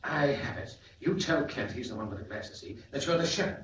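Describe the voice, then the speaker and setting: A man's voice: mid-range voice, lively intonation, brisk, a bit bright, far from the mic, a little hiss or hum, lightly acted. A man plays a ruthless scheming gang boss in a 1940s radio serial. He gives terse, bossy orders. The sound is muffled and echoey, a little off the mic. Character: scheming crime boss, curt, commanding swindler and killer.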